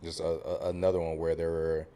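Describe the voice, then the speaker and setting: A man with a distinctly low voice chats as a panelist on a podcast. He speaks informally, with slangy phrasing, in an easy back-and-forth discussion. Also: monotone, fast talker, mellow. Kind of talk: casual discussion.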